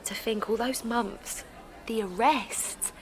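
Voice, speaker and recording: A woman's voice: on the high side, slow pace, low-quality recording. An actress playing an eager, earnest English woman in a naturalistic audio drama. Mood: happy, reflective. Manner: friendly, earnest. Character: eager, friendly young mother and campaigner.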